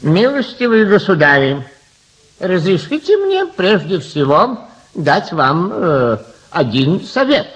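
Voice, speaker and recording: An actor playing a flustered, courteous judge in a comic radio play, halting and pompously polite. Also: male voice, high voice, sing-song, a bit bright, heavily acted. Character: flustered, patient, courteous old-fashioned male judge. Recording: dull, lo-fi radio recording.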